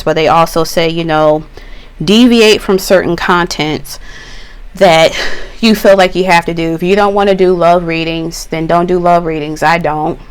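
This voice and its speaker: A middle-aged woman giving a tarot reading in a chatty, slangy way, a little hoarse from a cough. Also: on the low side, rough recording. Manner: slow, relaxed, chatty with slang. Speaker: tarot reader. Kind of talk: pick-a-card tarot reading.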